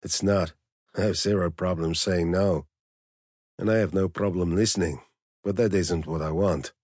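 A man voicing a suave, measured male lover with a polished English accent and dry humor. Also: sits low, fairly even intonation, a little mellow, dry recording. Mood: cool, teasing.

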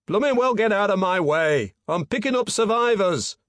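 A man voicing a brusque seaman with a Geordie accent in an audiobook, yelling defiantly. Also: sits high, lively intonation, moderate pace, no room sound, clean studio sound, voice pushed up. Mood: irritated. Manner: gruffly defiant shouting.

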